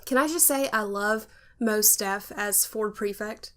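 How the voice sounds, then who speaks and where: A woman's voice: medium pitch, measured pace, fairly bright tone. A woman co-hosting a podcast in casual chat, with an informal, playful way of chiming in. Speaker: podcast co-host.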